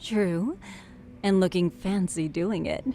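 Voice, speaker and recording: A woman voicing a playful, flattering, worldly barroom confidante in a video game English dub, coquettish and teasing. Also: fairly low pitch, slow pace. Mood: flirty teasing.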